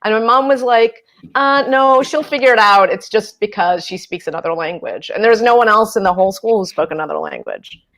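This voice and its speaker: A woman's voice: mid-range voice, big pitch swings, fairly quick, fairly bright tone. Reflective Midwestern woman author, fifties. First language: Urdu. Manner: reflective, storytelling, frequent fillers and laughs. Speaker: author panelist. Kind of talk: casual panel discussion.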